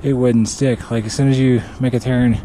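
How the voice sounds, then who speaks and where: A man on a snowy mountainside talks to the camera strapped to him, thinking aloud with many pauses and short nervous laughs. His voice sits at an ordinary pitch for a man. Wind and outdoor noise hiss clearly behind him. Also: steady tone, dark tone. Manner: hesitant, self-critical, with nervous laughs. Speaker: backcountry skier filming himself.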